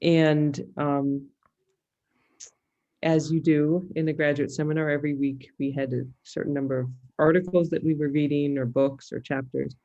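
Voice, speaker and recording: Female interview guest, Californian American, thoughtful and halting conversational delivery, slightly muffled lo-fi recording. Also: low-pitched, flat delivery, mellow. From California, United States. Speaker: interview guest, musicologist and fiddler.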